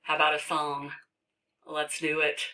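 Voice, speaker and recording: A woman teacher on a video call, slowly counting aloud for young children in an online math lesson. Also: sits low, completely flat delivery, pushed and bright, far from the mic, poor audio.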